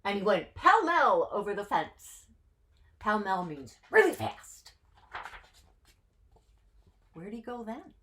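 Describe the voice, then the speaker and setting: A woman's voice: medium pitch, sing-song, slow pace, pauses often, fairly bright tone. A woman reading a picture book to children expressively, putting questions to her young listeners. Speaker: children's story reader.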